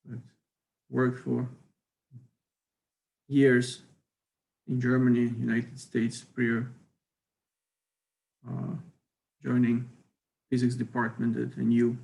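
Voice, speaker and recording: A man's voice: mid-range voice, completely flat delivery, slow pace, vocal fry, long pauses, darkish tone, rough recording. A middle-aged man from Ukraine, giving a university physics lecture in a hesitant way, with many fillers and careful phrasing.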